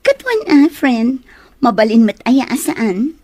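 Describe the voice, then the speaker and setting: A woman's voice: fairly high pitch, big pitch swings, slow pace, mellow, dry recording, heavily acted. A woman playing a caring, supportive young best friend in a melodrama, speaking in a soothing, encouraging way. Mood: welcoming. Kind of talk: Ilocano melodrama acting.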